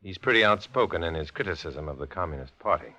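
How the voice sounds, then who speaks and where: An American actor in a 1950s radio drama playing a cool, guarded undercover agent with a clipped, measured, insinuating delivery. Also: male voice, low-pitched, lively intonation, sharp tone, heavily acted. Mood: cool, businesslike. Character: cool, guarded male undercover agent posing as Communist blackmailer. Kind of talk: 1950s American radio drama acting with first-person narration. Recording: dull, lo-fi old radio recording, music behind.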